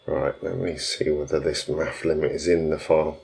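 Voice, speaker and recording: Deep-voiced man rambling on a live stream. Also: level tone, moderate pace, vocal fry, a little mellow. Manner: rambling, hesitant, many fillers and restarts. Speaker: tuning shop host answering viewer questions.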